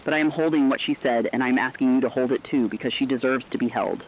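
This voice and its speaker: A man playing a somber, reflective radio host, relaying survivors' messages in a plain, solemn, deliberate monologue. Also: medium pitch, fairly even intonation, highs cut off, lightly acted. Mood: solemn, tender. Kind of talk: scripted intimate radio monologue.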